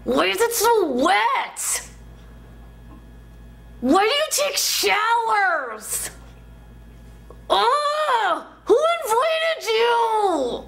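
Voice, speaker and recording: A woman's voice: very high-pitched, creaky, a bit bright, heavily acted. A woman playing a whiny, insulting bratty girl in a comedy sketch, drawing out her words. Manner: drawn-out, whining.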